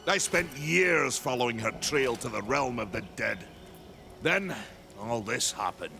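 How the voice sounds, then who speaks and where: A man playing a proud, stern old warrior in a fantasy video game, gravelly, with slow, weighty, archaic speech. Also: medium pitch, lively intonation, over music. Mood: grave, brooding. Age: older. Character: proud old male warrior maker, stern, battle-worn. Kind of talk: video game cutscene acting.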